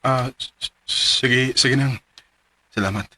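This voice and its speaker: An actor playing a withdrawn young man who cleans a church, speaking haltingly. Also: medium pitch, steady tone, sharp tone, slight background noise. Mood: sleepy, hesitant. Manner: hesitant, halting. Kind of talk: radio drama acting.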